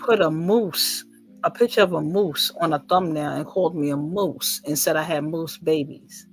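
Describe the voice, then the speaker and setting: Loud deep-voiced woman on a call. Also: moderate pace, soft background music. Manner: loud, boisterous. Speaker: interview guest. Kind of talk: casual online interview. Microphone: online call.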